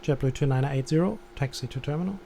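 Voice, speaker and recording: A man's voice: medium pitch, fast talker, vocal fry, mellow. A man live-streaming as an air traffic controller, giving instructions and commenting on the game. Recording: background noise.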